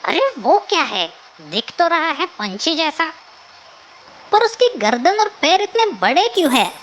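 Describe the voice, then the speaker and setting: A woman's voice: high voice, big pitch swings, a little slow, a bit bright, slight background noise, full character voice. A woman voicing a vain, boastful male peacock with a haughty manner in a children's cartoon. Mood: mocking.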